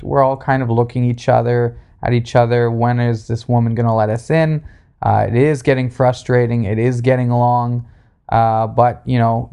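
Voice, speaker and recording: A man with a creaky, fry-heavy voice and an average pitch hosts a podcast. He tells anecdotes in a casual, halting manner with a sarcastic streak. He speaks closely into a handheld recorder. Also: mellow. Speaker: podcast host. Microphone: handheld recorder, spoken into closely.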